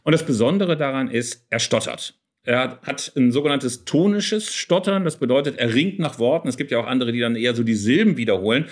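A man's voice: mid-range voice, a little fast. A middle-aged man co-hosting a casual football discussion, explaining things earnestly and at length. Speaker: podcast co-host.

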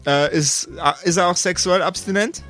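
A man hosting as game master in casual tabletop chat, halting and repeating words as he improvises questions. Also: sits high, a bit bright. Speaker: game master and podcast host. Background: circus-style music.

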